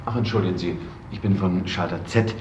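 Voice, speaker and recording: An actor playing a swindler who poses as a bank clerk in a radio drama, with hurried, officious politeness. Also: male voice, sits low, lightly acted. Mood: polite, urgent. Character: smooth-talking male swindler posing as bank clerk. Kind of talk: 1970s radio drama acting. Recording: dull, noisy, echoing hall ambience.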